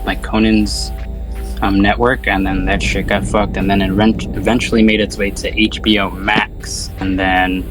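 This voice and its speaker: A man's voice: fairly low pitch, little pitch movement, normal speed, over music. A man chatting casually about films as a podcast host, with a slangy, sweary, rambling way of talking. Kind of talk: casual film discussion chat.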